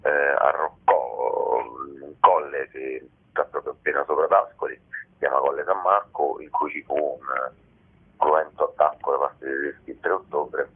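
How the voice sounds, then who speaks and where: A man with a very deep, rough-edged voice. He explains things informally in an interview, feeling his way with pauses. The sound is thin and boxed-in, as on a phone call. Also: steady tone. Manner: halting, explanatory, often pausing mid-sentence. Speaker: theatre author and actor, interview guest. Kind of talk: informal radio interview answers. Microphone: telephone. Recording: tinny, narrow phone line.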